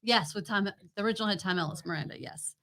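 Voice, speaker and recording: A woman's voice: mid-range voice, quick pace, fairly bright tone. A woman co-hosting a TV review show, chatting casually and unscripted in an opinionated, emphatic way. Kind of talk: casual unscripted chat, presenting a ranked list.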